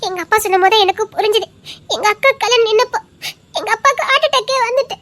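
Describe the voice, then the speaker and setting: An actress playing a remorseful young daughter who pleads for forgiveness through sobs. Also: female voice, very high voice, fast talker, a bit bright. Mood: tearful pleading. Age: young adult. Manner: sobbing, pleading. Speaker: daughter apologizing to her neighbour.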